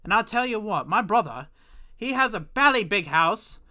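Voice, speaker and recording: A man putting on a chatty, pompous British gentleman as a deliberately bad comic impression on a live stream. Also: high voice, sing-song, voice pushed up, full character voice. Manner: exaggerated, put-on fake British delivery.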